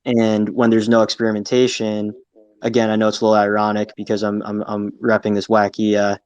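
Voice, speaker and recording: A man's voice: lowish voice, completely flat delivery, a little fast, a little mellow, clear, resonant tone, dry recording, highs cut off. A man making a casual, unscripted comment in a hesitant, apologetic manner. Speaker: audience speaker.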